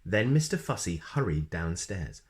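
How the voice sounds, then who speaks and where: A man reading a children's story expressively, with playful emphasis and dramatic pauses. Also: sits low, very animated. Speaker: storybook reader.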